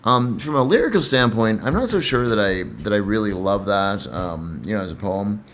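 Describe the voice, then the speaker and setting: A man's voice: lowish voice, expressive, moderate pace, a bit bright, slight background noise. A man who reads and comments on poetry in a casual, reflective way, somewhat hesitant and repeating himself.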